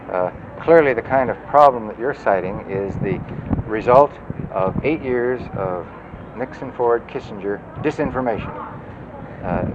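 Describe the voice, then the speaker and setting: A man campaigning for office and answering a voter's question in measured political phrasing, with a few stumbles. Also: medium pitch. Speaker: political candidate. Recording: lo-fi outdoor recording with street noise.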